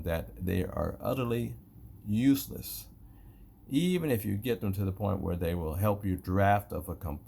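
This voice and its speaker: Deep, gravelly-voiced American man. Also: a little mellow, a little hiss or hum. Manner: halting, repetitive, emphatic. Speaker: complainant narrating own video. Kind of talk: unscripted spoken commentary on a grievance.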